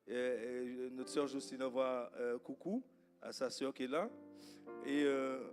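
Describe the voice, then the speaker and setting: A man from Guadeloupe with a Guadeloupean accent, whose first language is Creole, greeting a congregation as guest preacher in a halting way with many fillers. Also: mid-range voice, steady tone, soft background music. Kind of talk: informal welcome before a sermon. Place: church service.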